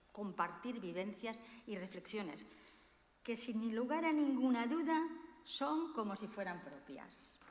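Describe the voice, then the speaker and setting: A woman giving a formal, eloquent prepared literary introduction as the presenter of a book launch. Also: medium pitch. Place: hall of a cultural institution. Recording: very echoey hall with long reverb.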